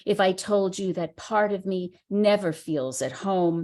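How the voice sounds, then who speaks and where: Slow, measured woman reading poetry. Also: mid-range voice, darkish tone. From United States. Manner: measured, unhurried recitation. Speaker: poet. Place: reading at a writers center.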